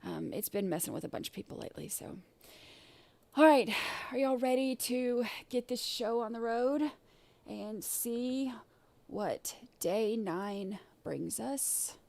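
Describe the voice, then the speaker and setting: A woman hosting a casual livestream, her throat scratchy and irritated, clearing it often and rambling with many fillers and restarts. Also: on the high side, animated, slow, a little mellow, dry recording. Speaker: livestream host.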